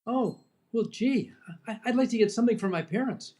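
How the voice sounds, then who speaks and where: A man's voice: very high voice, fairly quick, mellow. Male actor as naive young man, old-time radio comedy style, befuddled and simple-minded. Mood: eager. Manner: guileless, dim-witted.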